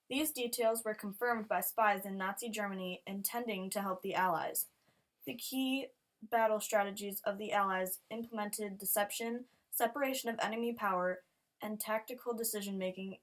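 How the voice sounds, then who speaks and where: A woman with a medium-pitched voice reads a newsreel script. The delivery is that of a prepared historical narration. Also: poor audio.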